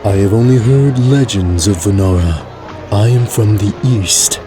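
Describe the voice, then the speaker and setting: A man's voice: on the low side, close to an everyday voice. A man playing a brooding necromancer with grave, formal phrasing in a fantasy audio drama. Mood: solemn. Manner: slow, formal, ominous phrasing. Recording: music behind the voice.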